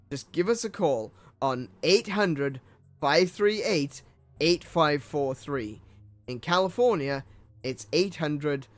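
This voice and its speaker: A young man and gaming streamer reading old computer ads aloud with his own commentary, tripping over words and laughing at himself. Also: a little high.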